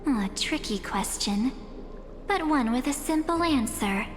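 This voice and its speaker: A woman voicing a sly, unruffled body-stealing impostor in a video game, cool, teasing and deliberate. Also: high voice, expressive. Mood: probing. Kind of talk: theatrical video game dialogue. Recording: long hall reverb, faint music. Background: faint music.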